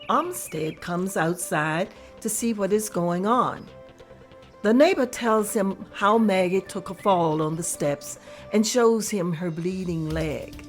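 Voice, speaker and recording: Narrator: woman, low and steady, reading scene directions.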